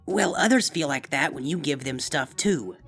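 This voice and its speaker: A man's voice: a little high, lively intonation, normal speed, gravelly, a bit bright, soft background music, voice pushed up, full character voice. A man voicing eager, insistent young female cartoon creatures in a fan fiction story, with an emphatic way of explaining. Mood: careful, explaining. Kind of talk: character voices in a fan fiction reading.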